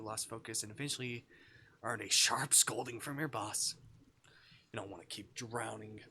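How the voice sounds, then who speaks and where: A man livestreaming a visual novel, switching between jokey chat and reading the text aloud in a playful, expressive way. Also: medium pitch, husky. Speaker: live streamer playing a visual novel.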